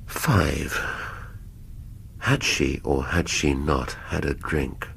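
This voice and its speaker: An actor with an English accent playing a brooding middle-aged police detective in a radio drama, musing in clipped half-sentences. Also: male voice, very deep voice, drawn-out words, creaky, fairly bright tone, a little hiss or hum, exaggerated voice. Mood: musing, muttering.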